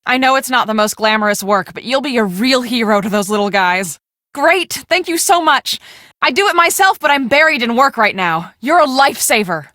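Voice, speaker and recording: An actress playing a brisk, bossy young woman on a storm-chasing team in a video game, speaking emphatically and expressively. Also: fairly high pitch, lively intonation, pushed and bright, dry recording, clean studio sound. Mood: friendly, businesslike. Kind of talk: scripted video game dialogue.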